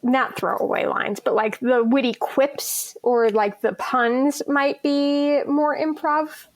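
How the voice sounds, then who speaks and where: A woman with a high voice speaks slowly and haltingly. She sounds casual, feeling for words as she explains something in a group interview. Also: steady tone.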